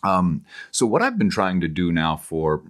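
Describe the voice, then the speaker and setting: A middle-aged man with a deep voice speaks as the guest on a thoughtful podcast. He explains in a reflective, scholarly way, halting and circling back to reword his points. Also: big pitch swings. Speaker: interview guest, humanities professor and author. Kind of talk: thoughtful long-form podcast interview.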